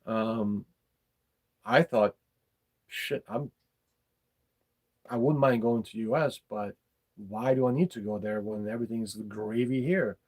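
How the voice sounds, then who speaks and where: A middle-aged man, talking casually and bluntly about his opinions, halting and repeating his words. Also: fairly low pitch, a little mellow. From former Yugoslavia. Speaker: podcast host.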